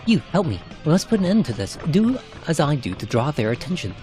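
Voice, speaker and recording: A man's voice: a little high, very animated, a little fast, a little mellow, soft background music. A man voicing a resolute, protective adventuring companion in a narrated game cutscene, earnest and urgent.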